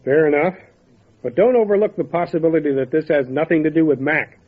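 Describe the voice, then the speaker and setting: An actor playing a calm, methodical small-town lawman with a serious, measured way of questioning, in old-time radio drama style. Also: a little high, narrow, tinny audio, natural voice. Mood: steady, pensive.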